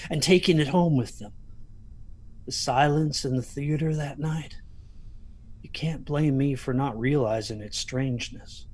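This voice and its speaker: Male actor as haunted man telling a ghost story: brooding, hesitant, intense, higher-set voice with some husk. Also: darkish tone, natural voice. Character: anxious haunted man recalling a stormy night. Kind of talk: first-person dramatic ghost story monologue.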